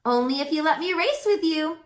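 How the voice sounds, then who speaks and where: A woman acts out a young female unicorn from a children's storybook. She sounds bashful, sweet and earnest, with a high, lilting pitch in a roomy, echoing space. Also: expressive, fairly quick. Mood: pleased.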